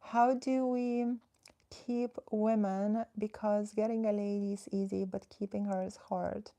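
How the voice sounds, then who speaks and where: Relationship coach, woman from the Netherlands: low gravelly edge, mellow tone, chatty and direct livestream host. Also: little pitch movement, unhurried. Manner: chatty and direct, reads viewer comments aloud, coaching advice. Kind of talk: casual livestream chat with viewers.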